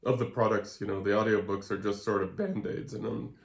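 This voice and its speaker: A man co-hosting a podcast in casual chat, talking in a halting, meandering way. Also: fairly low pitch, fairly even intonation, creaky. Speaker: podcast co-host. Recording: roomy echo.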